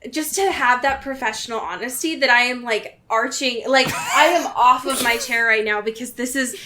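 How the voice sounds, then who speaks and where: A young woman with a fairly high voice co-hosts a casual podcast, playful and teasing. A faint hiss sits under her voice. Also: moderate pace. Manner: playful, teasing, swears, laughs often. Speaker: podcast co-host.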